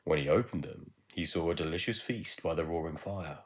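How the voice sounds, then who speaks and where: A man reading a children's story aloud in a measured, expressive way with dramatic pauses. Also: very deep voice. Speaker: teacher reading a bedtime story.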